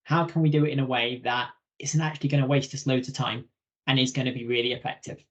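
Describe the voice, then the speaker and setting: A man giving an informal instructional webinar presentation as a software trainer. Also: medium pitch, steady tone, quick pace. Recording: slightly dull lo-fi webinar audio.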